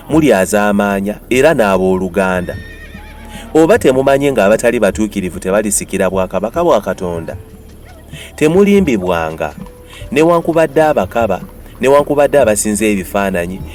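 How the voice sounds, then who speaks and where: A man with a Ugandan accent reads scripture in a dramatized style. His low voice moves widely in pitch as he declaims with emphasis. Music plays along behind him. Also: darkish tone. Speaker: Bible reader.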